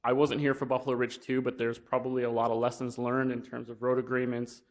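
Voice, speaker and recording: Young guy pitching at a county meeting, pretty monotone, lots of uhs and restarts. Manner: plain, halting, many fillers and restarts. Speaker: wind energy business developer. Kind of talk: informal presentation at a public meeting. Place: county commission meeting room.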